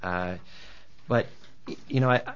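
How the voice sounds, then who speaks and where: A man's voice: fairly low pitch, a little slow, clean tone. A man, a guest on a radio interview, talking hesitantly with many restarts and fillers. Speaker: guest, startup founder. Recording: dull lo-fi radio recording.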